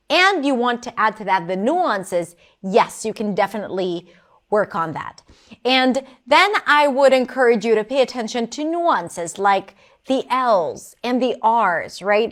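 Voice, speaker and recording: A woman coaching a learner on English pronunciation, answering his recorded question. She speaks in an encouraging, expressive way, with a voice pitched a little above the usual for women.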